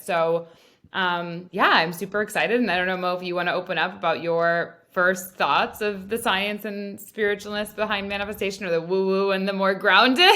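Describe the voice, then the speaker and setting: A woman's voice: medium pitch, fairly bright tone. A woman hosting a podcast in casual chat, upbeat and chatty with lots of fillers. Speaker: podcast host.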